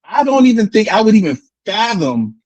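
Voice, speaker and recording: An American man in a casual debate, explaining in an animated way, repeating words and often checking with "right?". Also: high voice, normal speed, no room sound, poor audio. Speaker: discussion participant answering questions.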